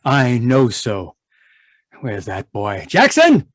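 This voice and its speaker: A man acts out a haunted, domineering chemist from an old radio horror play. He speaks at a middling pitch, brooding and imperious, in a dry, echo-free space. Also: wildly swooping pitch, slow, heavily acted. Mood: irritable, imperious. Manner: imperious, clipped outbursts and haunted muttering. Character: brooding, guilt-ridden, domineering male chemist obsessed with roses.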